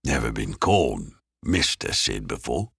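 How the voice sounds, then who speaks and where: A man's voice: extremely low pitch, big pitch swings, measured pace, vocal fry, a bit bright, breathy edge, no room sound, clean studio sound, voice pushed down, heavily acted. A man voicing a suspicious, sneering crime boss with a confrontational, barking manner in an audiobook thriller. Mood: guarded, sneering. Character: gruff, suspicious male criminal boss, sneering.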